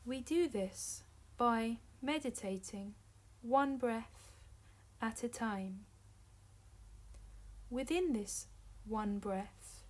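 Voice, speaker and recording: A woman narrating a guided meditation as a teacher, in measured short phrases separated by long pauses. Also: a little high, darkish tone.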